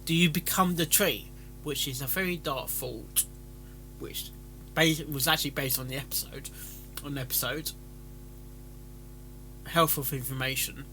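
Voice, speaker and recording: A man with a bright, forward voice that sits a little high. He talks as a stream host in loose, rambling commentary, stumbling and repeating words. Faint guitar music plays behind him. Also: rough recording. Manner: halting, stammering, repeats words. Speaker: host of a fan fiction reading stream.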